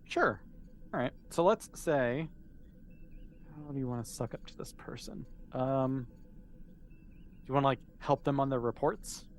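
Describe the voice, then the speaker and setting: A man's voice: mid-range voice, measured pace, long pauses, slight background noise. A man casually narrating a tabletop game as game master, explaining in an easygoing, conversational way.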